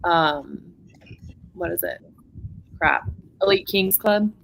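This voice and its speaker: A woman's voice: fairly low pitch, takes it slowly, creaky, fairly bright tone, slight background noise, rough recording. A woman co-hosting a casual book chat, thinking aloud and correcting herself. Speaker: co-host of a book club live show.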